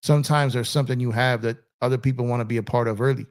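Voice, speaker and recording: Man, livestream host, gravelly mid-pitched voice, casual rambling chat at home. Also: steady tone, rapid-fire. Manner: rambling, repeats himself, many fillers. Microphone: streaming setup in a gaming chair.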